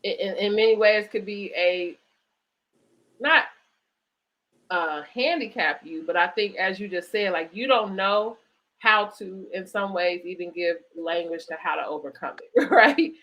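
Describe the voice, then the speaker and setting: A woman hosting a conversational interview, speaking reflectively in halting phrases and often checking in with "right?" or "you know". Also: mid-range voice, fairly bright tone, rough recording. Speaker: host interviewing an author. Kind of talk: conversational interview at a virtual book event.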